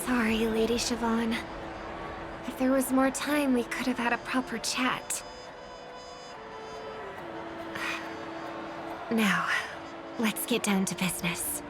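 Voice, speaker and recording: A woman playing a gentle, polite young singer in a video game, speaking in a soft, measured, thoughtful way. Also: on the high side, steady tone, lightly acted. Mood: calm, resolved. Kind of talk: video game dub acting. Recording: loud music under the voice.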